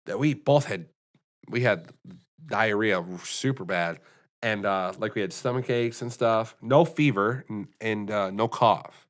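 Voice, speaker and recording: An American man co-hosting a laid-back podcast, talking in loose, rambling stretches. He circles back often, fills pauses with ums and restarts his sentences mid-thought. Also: lowish voice. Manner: rambling, many restarts and fillers. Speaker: podcast co-host. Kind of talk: casual chat.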